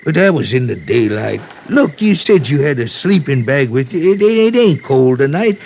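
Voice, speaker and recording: Nervous, creaky-voiced rural Westerner. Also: male voice, medium pitch, big pitch swings, moderate pace, darkish tone. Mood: flustered, nervous. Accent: American. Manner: stammering, folksy, curt. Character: nervous, evasive rural Western man running roadside cabins. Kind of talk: 1950s radio drama acting. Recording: muffled, noisy old radio recording.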